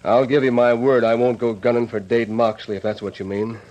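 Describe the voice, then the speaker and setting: An actor playing a middle-aged, plain-spoken cowhand and ex-convict in an old Western radio drama, halting and humble. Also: male voice, medium pitch, level tone, darkish tone, natural voice. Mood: humbly grateful. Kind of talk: 1940s-1950s Western radio drama acting. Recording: dull lo-fi old radio recording.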